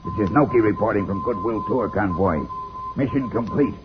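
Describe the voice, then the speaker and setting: An actor playing a scheming alien saboteur giving clipped, formal reports in a 1950s radio serial. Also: male voice, medium pitch, huge pitch swings, moderate pace. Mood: coldly businesslike. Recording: muffled old radio recording, music behind.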